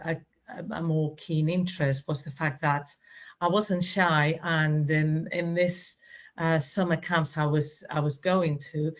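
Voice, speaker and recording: Low-voiced native Spanish-speaking woman, dull audio. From Spain or Spanish-speaking country. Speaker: webinar panelist, linguist. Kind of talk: conversational personal account in a webinar. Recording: dull, lo-fi online call audio.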